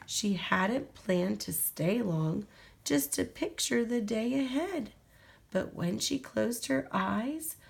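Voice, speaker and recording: Creaky-voiced woman reading a storybook slowly. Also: fairly high pitch. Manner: expressive, deliberate storytelling. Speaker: storybook reader. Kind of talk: children's picture book read-aloud.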